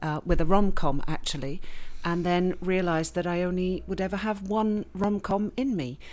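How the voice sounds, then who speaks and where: A middle-aged woman with an English accent, a guest author chatting in a casual podcast interview, wry and self-deprecating and quick to laugh. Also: fairly low pitch, fairly even intonation, a little mellow. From England, raised in Switzerland.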